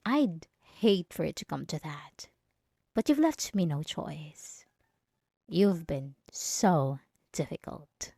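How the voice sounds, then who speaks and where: A jealous, possessive young female bully played by a woman in an intimate ASMR roleplay. Her pitch swings widely as she taunts and threatens, moving between softness and agitation. The recording is very dry and close. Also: sing-song, slow, creaky, breathy edge, no room sound, full character voice. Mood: menacing, taunting.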